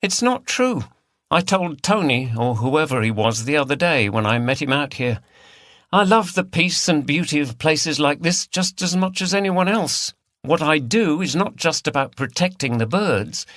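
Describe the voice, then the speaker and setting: Man voicing a feisty, high-pitched woman activist. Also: animated, moderate pace, a bit bright, no echo, studio quality. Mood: earnest, defiant. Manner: frank, earnest. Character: earnest, feisty, outspoken female countryside activist. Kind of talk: audiobook character dialogue.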